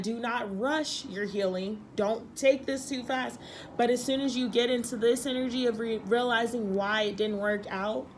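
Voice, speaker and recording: Young female tarot reader, slightly hoarse, earnest and emphatic, light background hiss. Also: on the high side. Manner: earnest, emphatic, repeats herself. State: losing her voice, a little sick. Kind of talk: tarot reading monologue.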